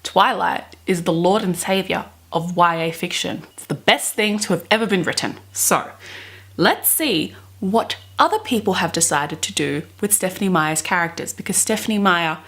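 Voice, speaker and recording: A woman in her twenties talking casually and dramatically to camera in a bedroom, gushing with drawn-out emphasis. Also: fairly low pitch, fairly bright tone. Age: 20s. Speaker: book vlogger. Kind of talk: casual chatty vlog with dramatic reading aloud. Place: small bedroom, indoors.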